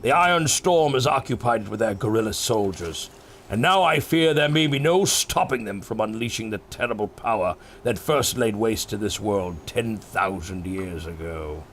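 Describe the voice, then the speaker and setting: An actor voicing a civil, gentlemanly ancient Yeti with a polite, well-spoken, exclamatory manner in an audio drama. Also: male voice, fairly low pitch, lively intonation, normal speed, slight background noise. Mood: grave, urgent.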